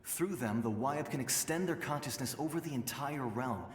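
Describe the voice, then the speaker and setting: A man playing a solemn, composed guide in a fantasy video game, explaining in a measured way, with reverb on his voice. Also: mid-range voice. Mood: solemn, explanatory. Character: solemn, composed male guide to a spirit realm. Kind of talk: video game exposition acting. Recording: echoing reverb on the voice.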